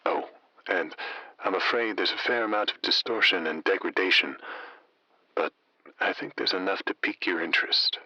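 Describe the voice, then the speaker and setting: A deep-voiced, mysterious radio host, folksy and conspiratorial. The voice is husky yet very forceful and sharp-edged. It is recorded close and dry, as in late-night radio drama. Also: male voice, dry recording, lo-fi sound, heavily acted. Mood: intriguing, hushed. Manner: deliberate, intimate, conspiratorial.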